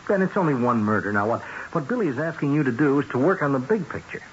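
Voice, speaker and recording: An actor playing a persuasive, hard-nosed political fixer in a 1970s radio drama, glib and pressing. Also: male voice, medium pitch, expressive, quick pace, lightly acted. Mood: coaxing. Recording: dull, hissy 1970s radio broadcast.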